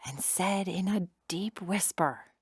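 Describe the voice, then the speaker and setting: A woman narrating a children's storybook drama in an expressive, deliberate storytelling manner. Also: on the low side, breathy edge, no room sound, clean studio sound.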